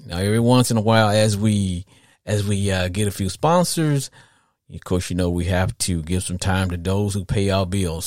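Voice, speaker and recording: A man's voice: sits low, dry recording, clean studio sound. A middle-aged man with a Southern US accent, hosting a casual sports talk podcast in a folksy, rambling way, repeating phrases for emphasis. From southern United States. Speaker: podcast host.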